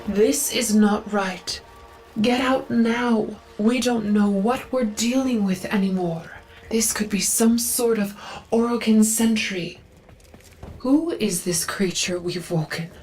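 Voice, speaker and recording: A woman voicing a solemn, protective female forest ally in a video game, hushed and urgent. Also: mid-range voice, slow, soft background music, poor audio. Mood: wary, troubled. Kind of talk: dramatic video game voice acting.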